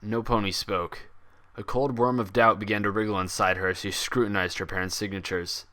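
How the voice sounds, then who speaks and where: A man reading fan fiction as scripted story narration, with expressive, dramatic delivery. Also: on the low side, fairly quick.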